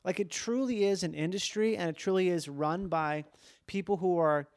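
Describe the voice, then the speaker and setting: Higher-pitched man in casual, close dry chat. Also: normal speed, darkish tone, dry recording. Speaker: podcast host.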